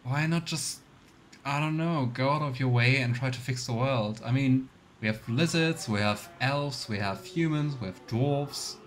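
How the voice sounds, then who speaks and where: A man's voice: mid-range voice, measured pace. A man giving casual, musing let's play commentary on a role-playing game, with a wry, sardonic manner. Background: game music.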